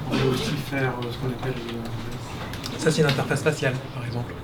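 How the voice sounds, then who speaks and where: A man's voice: medium pitch, steady tone. A man casually explaining an animation studio on a walking tour, hesitant and full of restarts. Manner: halting, many restarts and fillers. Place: animation studio offices, indoors. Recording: loud background noise.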